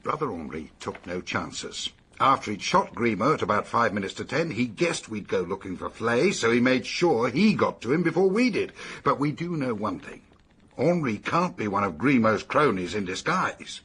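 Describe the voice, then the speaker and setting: Husky, animated British police detective. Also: male voice, mid-range voice, heavily acted. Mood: matter-of-fact, intent. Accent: British English. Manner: brisk, matter-of-fact, expository. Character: brisk, practical male British police detective. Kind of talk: British radio detective drama acting.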